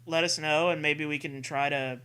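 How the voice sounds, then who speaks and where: A man co-hosting a comic story read-aloud, swearing freely and excitably between narration and casual banter. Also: a little high, normal speed, clear, resonant tone. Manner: profane, excitable. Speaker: co-host reading a comic story.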